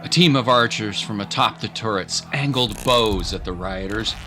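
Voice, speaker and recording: A man narrating a scripted dramatic story in a measured, solemn manner. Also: on the low side, over music.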